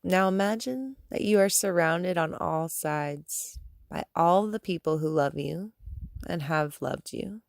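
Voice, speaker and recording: A woman guiding a meditation as a yoga teacher, speaking in a calm, soothing manner with gentle instructions and long pauses. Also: on the low side, gravelly, no echo, clean studio sound. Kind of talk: guided meditation.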